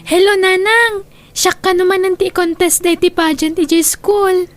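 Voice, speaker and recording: A sweet, eager schoolgirl in an Ilocano radio drama. Her voice is extremely high, with an Ilocano accent. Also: fairly even intonation, measured pace, dry recording, soft background music.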